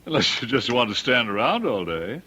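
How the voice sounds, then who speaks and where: An actor playing a kindly, protective uncle in an old-time radio drama, wry and given to awkward stammering. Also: male voice, mid-range voice, very animated, a little fast, sharp tone, a little hiss or hum. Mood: wry, teasing. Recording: dull vintage radio drama recording.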